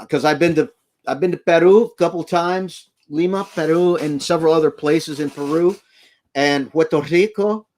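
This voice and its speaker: A man from Florida hosting a casual, rambling live stream from inside his RV camper, chatting with viewers and thanking them. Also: mid-range voice. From Florida, United States. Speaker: livestream host. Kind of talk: casual rambling livestream chat.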